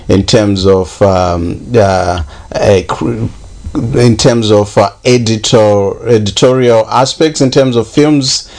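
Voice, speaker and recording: Slow, hesitant man hosting. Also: lowish voice, darkish tone. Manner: slow, with many hesitant "uh" fillers. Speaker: radio host. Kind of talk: radio interview.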